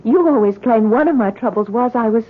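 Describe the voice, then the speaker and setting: A woman's voice: on the high side, brisk, close to an everyday voice. An actress in an old-time radio drama playing an anxious, haunted young woman student. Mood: uneasy. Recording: noisy, tinny old radio recording.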